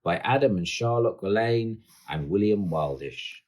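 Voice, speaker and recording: A man reading a children's picture book aloud with dramatic, expressive delivery. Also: lowish voice, measured pace. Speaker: storyteller.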